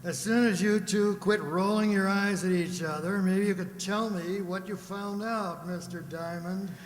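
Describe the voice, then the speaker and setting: An actor playing an exasperated, bossy police lieutenant in a radio play, impatient and scolding. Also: male voice, high-pitched, fairly even intonation, a little slow. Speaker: amateur radio drama actor. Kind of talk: old-time radio play dialogue. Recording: very echoey hall with faint noise.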